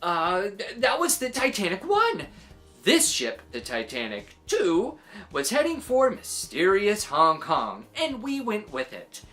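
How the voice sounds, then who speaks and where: Eccentric aunt, acted by a woman, excitable tall-tale teller for a children's story, very animated, emphatic. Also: medium pitch, faint music behind, voice pushed up. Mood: improvising. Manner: dramatic, emphatic, drawn-out delivery.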